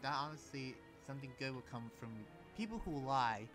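Sympathetic male slayer, played by a man, halting and reassuring anime game roleplay, clear music behind him. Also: mid-range voice, expressive, lightly acted. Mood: kind, hesitant. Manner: hesitant, halting, gently reassuring. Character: earnest, sympathetic young male demon slayer.

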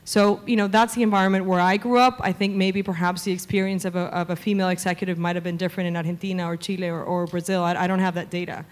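A woman's voice: fairly low pitch, level tone, fast talker, few pauses. Woman talking in a reverberant hall. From Venezuela. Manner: fluent, with frequent "um" fillers. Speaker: media executive guest. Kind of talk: onstage interview. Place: conference stage before a seated audience. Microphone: handheld mic.

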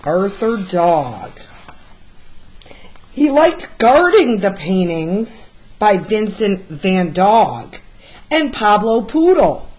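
A woman reading a children's picture book aloud as a storyteller, with deliberate, expressive delivery. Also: mid-range voice, dull audio. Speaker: read-aloud storyteller, art teacher.

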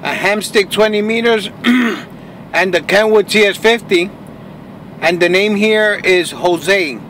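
Higher-voiced man talking radio in a car. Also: unhurried, a bit bright. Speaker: amateur radio operator. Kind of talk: ham radio contact chat. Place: inside a car. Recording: faint noise inside a car.

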